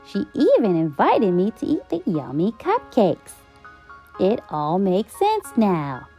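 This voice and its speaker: A woman narrating a children's story in an expressive, theatrical and emphatic storytime style. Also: mid-range voice. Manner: theatrical, emphatic, drawn-out delivery. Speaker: children's storybook read-aloud host. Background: background music.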